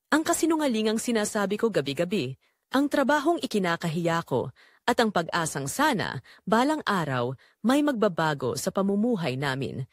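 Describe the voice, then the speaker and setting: A woman's voice: medium pitch, no echo, clean studio sound. A woman playing a struggling single mother, weary but hopeful, narrating a confessional first-person audio drama to her listeners in an emotive way.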